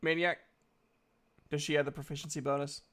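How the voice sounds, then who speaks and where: A man's voice: fairly high pitch, flat delivery, brisk, fairly bright tone. A man running a tabletop game as game master, narrating and chatting casually.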